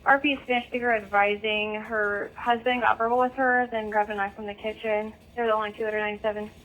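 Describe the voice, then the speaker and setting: Lady police dispatcher on a hissy scanner, talks quick and clipped, just the facts. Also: mid-range voice, narrow, tinny audio. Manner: flat, clipped, matter-of-fact. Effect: police radio. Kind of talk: police radio dispatch, procedural. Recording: noisy police scanner radio feed.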